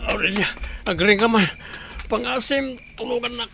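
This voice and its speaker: A man's voice: extremely high pitch, normal speed, creaky, music in the background. A man playing an ailing, hard-drinking, self-pitying husband in a radio drama, with a strained voice. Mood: pained, pleading.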